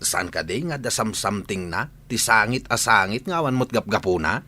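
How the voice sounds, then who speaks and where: An actor playing a nervous, gossipy household servant in an Ilocano comedy radio drama, stammering and exclaiming in a husky voice. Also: male voice, lowish voice, animated, moderate pace, pushed and bright. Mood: flustered.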